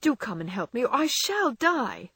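A woman's voice: sing-song, normal speed, slightly rough, dry recording, studio quality, heavily acted. A woman playing a sobbing, frantic young wife. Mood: distraught. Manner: sobbing, pleading, high-strung. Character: distraught young wife, tearful and overwrought.

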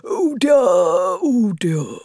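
A woman's voice: lowish voice, animated, normal speed, darkish tone, dry recording, clean studio sound, close to an everyday voice. Toad, a fussy, pleading disguised toad voiced by a woman. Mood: lamenting. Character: vain female toad disguised as a guard.

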